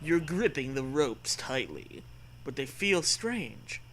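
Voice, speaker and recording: A man's voice: medium pitch, sing-song, slow pace, fairly bright tone, slight background noise, poor audio. A man co-hosting a comic story reading, narrating in a crude, mock-serious way with chatty commentary. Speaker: co-host, reader.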